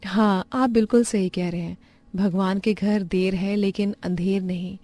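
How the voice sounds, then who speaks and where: A woman voicing a kind, humble young village wife who runs a roadside eatery in a cartoon story, speaking earnestly and gently. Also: medium pitch, fairly even intonation, a little slow, no echo, lightly acted. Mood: grateful.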